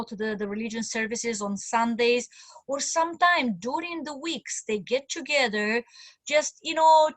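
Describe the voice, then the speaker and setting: A woman's voice: fairly high pitch, fairly bright tone, dry recording. A woman from Romania, giving an informal, enthusiastic talk on a video call, with many fillers and restarts. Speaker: community speaker at an online meeting.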